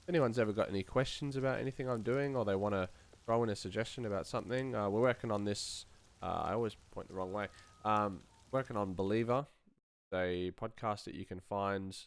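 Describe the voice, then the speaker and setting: A man's voice: on the low side, fairly quick. A man hosting a casual live stream as a sound designer, rambling and thinking aloud as he works.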